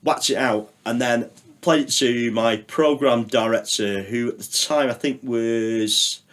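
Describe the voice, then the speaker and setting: A man's voice: mid-range voice, unhurried, fairly bright tone. A middle-aged man, telling rambling stories as a podcast guest, full of fillers and the odd swear word. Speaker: podcast guest, radio producer. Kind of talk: casual chat.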